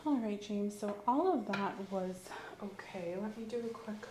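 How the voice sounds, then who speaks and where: A woman doing an ASMR medical roleplay as an examining clinician, giving calm, gently coaxing clinical instructions. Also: medium pitch, moderate pace. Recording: roomy echo, faint background hiss.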